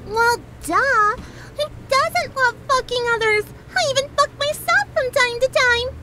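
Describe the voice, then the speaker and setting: Squeaky, bubbly cartoon pony. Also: female voice, a little slow, very noisy. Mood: cheerful, oblivious. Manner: excitable, chirpy. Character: bubbly, oblivious young female cartoon pony.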